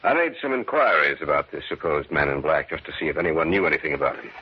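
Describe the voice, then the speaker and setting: Casting: brooding, driven man, deep and quick, vintage radio drama, dull old recording. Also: lively intonation, breathy edge, exaggerated voice. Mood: brooding, determined. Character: Brian, brooding obsessed man pursuing a dark mystery.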